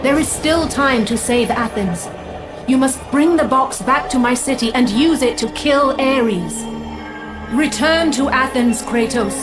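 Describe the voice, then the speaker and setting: An actress playing a solemn, commanding goddess in a video game, speaking in formal imperatives. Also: female voice, high voice, measured pace, over music, poor audio. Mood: solemn urging. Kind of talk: dramatic video game acting.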